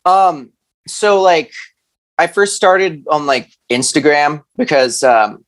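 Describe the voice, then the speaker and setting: A man speaking as an interview guest, chatting casually in a halting, self-deprecating, conversational way. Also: fairly high pitch, expressive, fairly bright tone. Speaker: musician, podcast guest. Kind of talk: casual chat.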